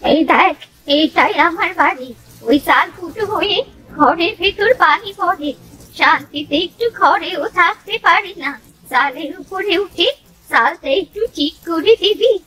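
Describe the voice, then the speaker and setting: A woman's voice: very high voice, a little slow, pushed and bright, heavily acted, low-quality recording. A woman voicing a mysterious old village grandmother, coaxing and familiar, in a children's cartoon. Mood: plaintive, kindly. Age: older.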